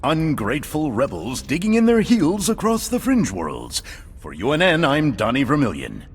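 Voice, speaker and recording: An actor playing a pompous, slanted television news anchor in a theatrical parody broadcast for a video game. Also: male voice, fairly high pitch, huge pitch swings, moderate pace, slightly rough, big-room sound, heavily acted. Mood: pompous, smug.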